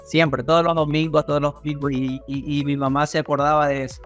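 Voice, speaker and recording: A young man from Ecuador with a coastal Ecuadorian accent, telling his story casually and haltingly as an interview guest on a video call. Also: fairly high pitch, level tone, a bit bright, faint music behind. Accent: Ecuadorian coastal Spanish. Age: young adult. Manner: halting, repeats words as he goes. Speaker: podcast guest, rapper. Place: small indoor studio room on a video call.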